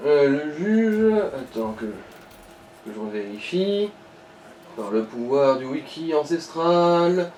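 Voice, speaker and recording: A man's voice: fairly high pitch, animated, takes it slowly, darkish tone. A man chatting casually as he advises on a video game, crude and joking at times, often explaining things. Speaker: co-commentator advising the player. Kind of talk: casual gaming let's play chat. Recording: roomy, distant mic, faint noise.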